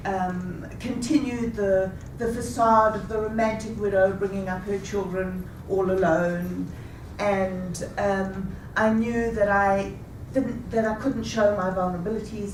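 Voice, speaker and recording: A woman in her fifties telling a rehearsed personal story with a measured, deliberate delivery. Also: mid-range voice, slight background noise. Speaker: speaker. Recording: echoey room, taken from a distance.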